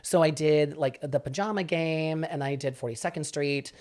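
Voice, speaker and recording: A man in his late thirties with a fairly high voice for a man, chatting as a podcast guest and telling his story with lots of quoted asides. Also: normal speed, darkish tone. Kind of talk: casual interview chat.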